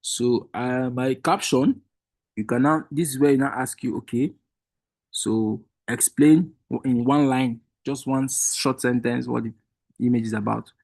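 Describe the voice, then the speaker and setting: A man's voice: medium pitch, unhurried, creaky, darkish tone, no echo, rough recording. A man whose first language is Igbo, explaining a screen-share tutorial step by step to a webinar group, patiently and with frequent restarts. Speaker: workshop trainer.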